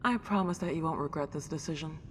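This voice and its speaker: Century-old ghost girl, played by a woman, excitable and genteel, ASMR drama, very reverberant and noisy recording. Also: deep voice, fast, lightly acted. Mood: joyous, gracious. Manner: old-fashioned genteel wording, gushing wonder. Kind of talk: ASMR roleplay acting. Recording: long reverb, clear background noise.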